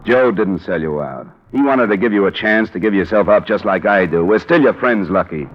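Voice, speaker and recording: An American man playing a blunt, steady hard-boiled investigator in a 1950s radio noir drama, speaking in a terse, deadpan way. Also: fairly low pitch, fairly quick. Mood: stern, urging. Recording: dull vintage radio recording.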